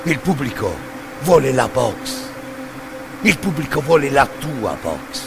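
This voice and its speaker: A man narrates a radio play as a solemn, incantatory monologue. His voice is gravelly and moves slowly, with lively swings in pitch, and music plays behind him. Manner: solemn, incantatory, deliberate repetition. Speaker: actor-narrator.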